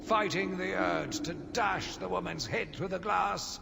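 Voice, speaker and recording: An actor playing a sinister, self-righteous madman, delivering a theatrical, deliberate villain's monologue for a video game. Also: high voice, heavily acted. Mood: revulsion, bitter. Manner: theatrical, deliberate, brooding delivery with dramatic emphasis. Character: sinister, zealous, self-righteous madman obsessed with punishing monsters. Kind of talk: dramatic scripted monologue, audio journal from a video game. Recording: long reverb, clearly noisy background ambience.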